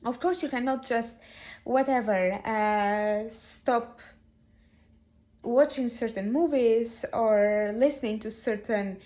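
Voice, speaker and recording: A woman from Albania giving a slow, reflective spiritual talk to camera, with many pauses and fillers, growing emphatic at times. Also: fairly high pitch. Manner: grows animated and emphatic. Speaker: spiritual teacher.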